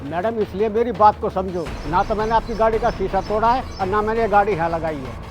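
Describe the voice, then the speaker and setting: An actor playing a polite security guard who pleads with a rude driver in a short drama skit. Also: male voice, sits high, fairly quick, natural voice. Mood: pleading. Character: patient, polite middle-aged male security guard.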